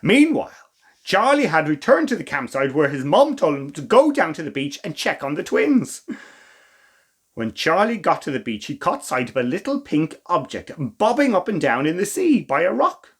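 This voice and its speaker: A man reading a children's story as a storytime host, with expressive, theatrical emphasis and asides to the listeners. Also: a little high, moderate pace, slightly rough. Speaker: storytime reader.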